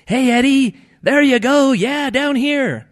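A man voicing small, excitable bait shrimp in a storybook narration, proclaiming things insistently and ominously. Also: very high voice, normal speed, voice pushed up. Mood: cheery, hailing.

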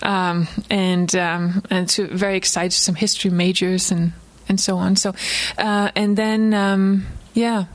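A woman's voice: mid-range voice, level tone. Danish woman giving an informal author talk. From Denmark. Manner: halting, frequent self-repetition, chuckles often. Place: live book event before an audience, recorded for a podcast.

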